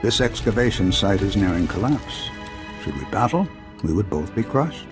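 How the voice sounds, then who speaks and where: Serene, aloof mystic master for a game: low voice, formal and philosophical, music underneath. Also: male voice, big pitch swings, moderate pace. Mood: serene, resolute. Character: serene, aloof Jedi master, transcendent and proud. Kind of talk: video game voice acting.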